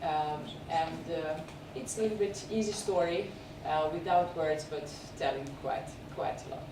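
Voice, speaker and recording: A woman with a Serbian accent hosting a film festival, speaking unscripted and haltingly as she searches for words. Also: low-pitched. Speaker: film festival host introducing films and guests. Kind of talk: unscripted spoken introduction and interview. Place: echoey screening venue. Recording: echoey, noisy room, far from mic.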